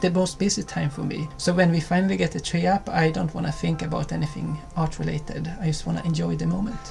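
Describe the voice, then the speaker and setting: Swedish man, 30s, gravelly low fry, easygoing co-host, slight hesitations. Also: fast, faint music behind. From Sweden. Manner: calm, friendly, with small hesitations. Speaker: co-host of a cottage lifestyle channel. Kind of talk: casual chat to camera.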